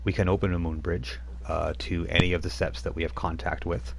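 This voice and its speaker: A man's voice: sits low, flat delivery, fairly quick, creaky, slight background noise. A man running a tabletop role-playing game on a live stream, rambling with frequent restarts as he narrates. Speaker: game master.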